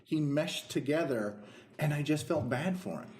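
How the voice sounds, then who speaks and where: A man's voice: on the high side, animated, moderate pace, a little mellow. Man rambling casually in an echoey room. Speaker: podcast co-host. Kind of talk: casual discussion.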